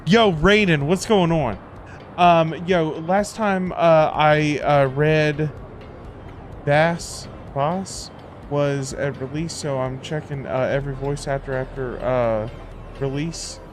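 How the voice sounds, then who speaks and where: A man livestreaming a game, chatting casually in a halting, informal, slangy way. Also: fairly high pitch, fairly even intonation, a little hiss or hum. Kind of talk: casual gaming livestream chat.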